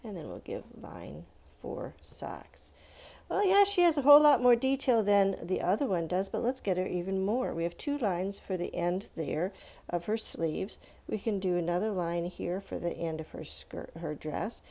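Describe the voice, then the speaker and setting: Woman, children's art teacher: low, very mellow voice with some gravel, informal, encouraging, talks through each step. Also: sing-song, normal speed. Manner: encouraging, thinking aloud, addressing young viewers with "let's" and check-ins. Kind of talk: step-by-step drawing lesson, informal and conversational.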